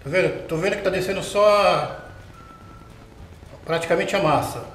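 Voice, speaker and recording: A man's voice: high voice, normal speed, a bit bright. A middle-aged man demonstrating a recipe step by step in his home kitchen, explaining patiently and checking in with the viewer as he works. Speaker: home cooking show host. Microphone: camera mounted overhead. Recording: echoey kitchen with light background noise.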